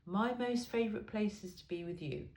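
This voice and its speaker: A woman's voice: medium pitch, moderate pace, a little mellow. A woman reading a self-help book aloud in an informal, blunt and emphatic way.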